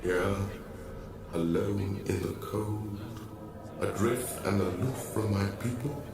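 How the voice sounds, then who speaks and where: A cavernous echo carries the solemn voice of an ancient exiled lord. He is very deep and slow, grave and brooding, as in an audio drama, with music underneath. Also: far from the mic, background noise. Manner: grave, drawn-out, theatrical.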